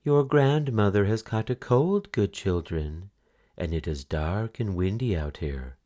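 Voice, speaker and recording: A man voicing a cunning old male wolf posing as a grandmother, crooning low and slow in a storybook reading. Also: animated, dark tone. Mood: sly coaxing.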